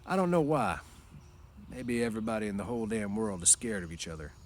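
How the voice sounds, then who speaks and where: A man doing a gentle, quiet mule driver. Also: on the low side, big pitch swings, brisk, a little hiss or hum. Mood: gentle, calm. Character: calm, dignified older male mule driver.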